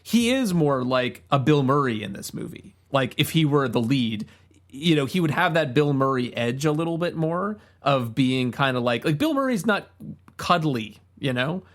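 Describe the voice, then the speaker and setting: A man's voice: mid-range voice, big pitch swings, moderate pace. A middle-aged man, chatting casually about film as a podcast co-host in an agreeable, conversational way. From Ontario, Canada.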